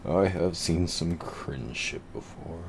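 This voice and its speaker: A man with a very deep voice giving laid-back, rambling, profane commentary over his game. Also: slow, background noise. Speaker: gamer narrating his play. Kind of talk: casual gameplay commentary.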